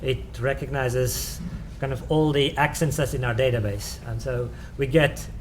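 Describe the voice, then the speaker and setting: A man with a South African accent answers questions at a conference talk. He is halting, full of "um"s and self-corrections, at an everyday mid pitch. A faint hum of the room runs underneath. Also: steady tone. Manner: hesitant, many "um"s and restarts.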